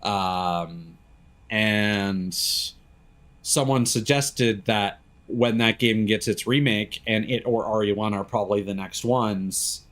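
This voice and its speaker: Low-pitched man streaming a game. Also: slow, a bit bright. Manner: opinionated, sweary. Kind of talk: casual live gameplay commentary.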